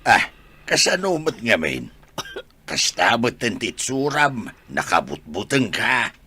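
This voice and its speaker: Man acting a raspy, scolding old father. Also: lowish voice, sing-song, takes it slowly, bright, forceful tone, full character voice. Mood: reproachful. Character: stern, scolding old father rebuking his son. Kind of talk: Ilocano radio drama acting.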